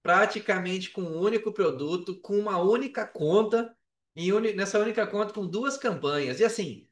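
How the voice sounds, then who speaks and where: A Brazilian man with a high voice tells a story in loose, slangy talk, slipping into quoted dialogue as he goes. He sits back from the mic in an echoey room, so the sound is roomy and a little distant. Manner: colloquial, slangy, re-enacts dialogue. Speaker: podcast guest, affiliate marketer. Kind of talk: animated casual storytelling.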